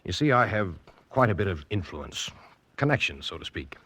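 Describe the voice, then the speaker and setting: Smooth wealthy uncle, genial yet calculating, low voice with swooping intonation, vintage radio drama. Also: male voice, very animated, a bit bright, no echo, full character voice. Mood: suave, probing. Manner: urbane, polite, measured probing. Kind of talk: 1940s radio drama acting.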